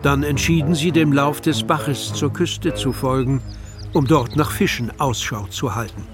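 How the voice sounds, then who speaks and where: An actor narrating a children's fantasy adventure as a measured, descriptive storyteller. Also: male voice, medium pitch, fairly even intonation, natural voice. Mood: measured storytelling. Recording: music behind the narration.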